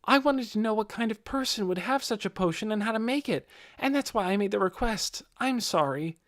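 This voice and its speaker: An earnest, polite teenage boy as a male audiobook reader plays him, pitched high and swinging with feeling. He is nervous and deferential, quick to apologize, in a dry studio sound. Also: lively intonation, fairly bright tone, dry recording. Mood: apologetic. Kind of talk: audiobook character voice.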